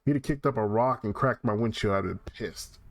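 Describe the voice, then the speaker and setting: A man's voice: fairly low pitch, fairly quick, no echo, studio quality. A man hosting a let's play, thinking aloud in casual, rambling, slangy commentary.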